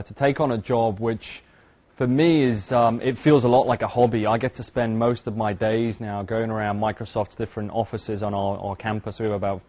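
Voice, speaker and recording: A man's voice: on the low side, a little mellow. A man from the UK with a British accent, giving a conference talk in a loose, conversational way with many fillers and restarts. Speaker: conference speaker. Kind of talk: presentation talk.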